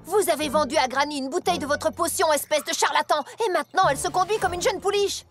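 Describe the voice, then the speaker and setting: Une femme jouant une jeune jument franche, voix haute. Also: female voice, sits high, fast, natural voice. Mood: indignant. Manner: frank, plain-spoken, concerned. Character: earnest, protective young adult big-sister mare. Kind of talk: animated cartoon dubbing. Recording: faint background music.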